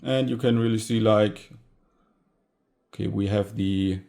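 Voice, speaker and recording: A man casually narrating a hands-on gadget teardown as a video host, informal and halting, often pausing mid-sentence. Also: lowish voice, fairly even intonation, creaky, darkish tone. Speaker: tech hobbyist video host.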